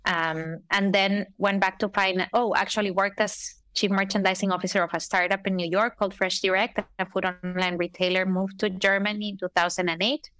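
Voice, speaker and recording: A woman's voice: medium pitch, flat delivery, fairly quick, few pauses, a bit bright, no echo. A middle-aged woman from Costa Rica, a startup founder, answering interview questions thoughtfully. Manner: thoughtful, uses fillers and restarts. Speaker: startup founder and CEO, guest. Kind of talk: interview conversation.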